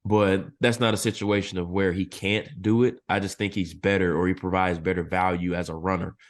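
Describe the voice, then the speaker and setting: Low deep voiced guy talking draft picks, bit hoarse, steady and analytical. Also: brisk. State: losing his voice, clearing throat. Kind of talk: casual podcast interview.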